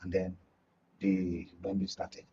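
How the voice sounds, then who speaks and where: A very husky male voice with a Nigerian accent, heard slightly away from the mic in dull broadcast sound. He argues like a panel analyst, stressing points by repeating them. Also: sits low, little pitch movement, slow, mellow. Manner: emphatic, repeats words and phrases for stress. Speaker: studio guest, journalist and media analyst. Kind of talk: live political panel discussion. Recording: muffled, distant-mic broadcast audio.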